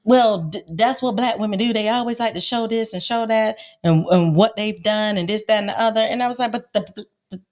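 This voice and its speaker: A woman's voice: mid-range voice, fairly quick, darkish tone. An American woman co-hosting a casual panel podcast, telling things emphatically and repeating herself for effect. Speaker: podcast co-host.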